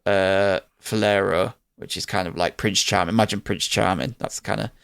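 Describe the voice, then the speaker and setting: A man's voice: low voice, steady tone, normal speed, vocal fry, fairly bright tone, clear, resonant tone, dry recording. A man with a British accent giving an enthusiastic, informal film review in casual conversation. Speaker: podcast host reviewing films.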